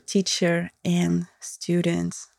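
A woman's voice: lowish voice, monotone, very slow, gravelly, a little mellow, no room sound. A woman with a Russian accent, hosting a podcast and speaking frankly and casually in a candid, matter-of-fact monologue. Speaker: podcast host and intimacy educator.

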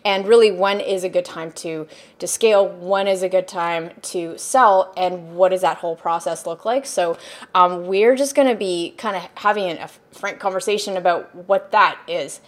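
A woman's voice: fairly low pitch, normal speed. Chatty woman podcast host. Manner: chatty, rambling, upbeat, many ums. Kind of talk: casual podcast interview.

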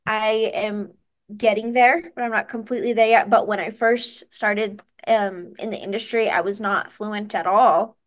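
A woman's voice: mid-range voice, fairly even intonation, moderate pace. A young adult woman, a singer and guest, talking casually and upbeat with lots of fillers and restarts. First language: English. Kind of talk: casual interview chat.

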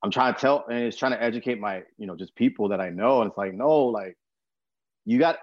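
A man's voice: lowish voice, brisk, no room sound. A young American man from Texas hosting a podcast, talking casually in a rambling, slangy way, with a slightly muffled sound. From Texas, USA. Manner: informal slangy speech, rambling. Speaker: podcast host. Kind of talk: casual conversational talk.

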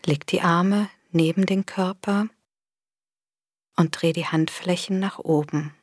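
A woman with a soft, breathy voice gently guiding a body scan meditation in a soothing manner. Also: fairly low pitch, fairly even intonation, no echo, clean studio sound. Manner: gentle, soothing, unhurried instruction with long pauses. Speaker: meditation guide. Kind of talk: guided body scan meditation, scripted narration.

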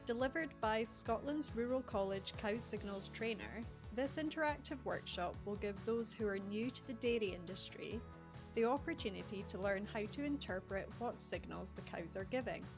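A woman's voice: mid-range voice, expressive, moderate pace, over music. A woman hosting a podcast, reading out scripted announcements and introductions. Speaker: podcast host.

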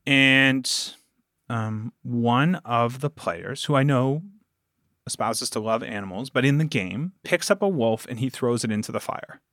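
A man's voice: medium pitch, unhurried, a bit bright, dry recording, studio quality. A man talking casually as a podcast guest, telling stories and quoting himself and others as he goes. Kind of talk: casual interview conversation.

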